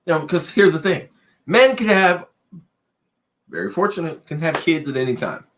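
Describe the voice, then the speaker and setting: A man co-hosting a casual late-night chat show, talking in a loose, rambling way and pushing his pitch up and down for emphasis. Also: very animated, normal speed, a bit bright, slight background noise. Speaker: podcast co-host.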